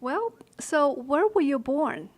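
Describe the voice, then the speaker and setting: A woman's voice: high voice, animated, slow. A woman hosting a show, interviewing a guest conversationally. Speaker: interview host.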